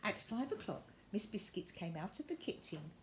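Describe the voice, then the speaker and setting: A woman reading a children's story aloud, with deliberate, expressive narration. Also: medium pitch, heavy background noise.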